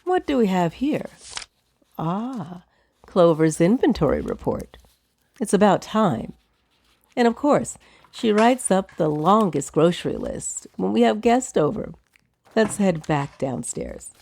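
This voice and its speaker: A woman's voice: on the low side, very animated, takes it slowly, gravelly, darkish tone, no echo, full character voice. Lady as a haughty tower wizard, dry n teasing, very formal wording, lots of lilt, fantasy audio drama. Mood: amused, dry. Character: wry, haughty, composed female wizard who owns a tower.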